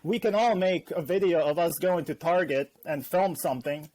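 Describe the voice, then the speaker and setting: A man's voice: a little high, normal speed, poor audio. A man guesting on a podcast, talking casually and animatedly, often acting out what other people say. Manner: animated, acts out quoted voices. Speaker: guest YouTuber.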